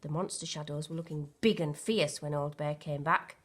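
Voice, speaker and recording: A woman's voice: low-pitched, fairly even intonation, moderate pace. A woman reading a children's storybook aloud expressively, like a primary school teacher.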